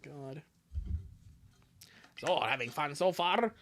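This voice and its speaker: A highly animated livestreamer with a mid-range voice chats casually with viewers. The delivery swings up and down, giggly and self-mocking, with some loose swearing. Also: slow, a little hiss or hum. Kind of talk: casual livestream chat, joking with viewers and reading their comments.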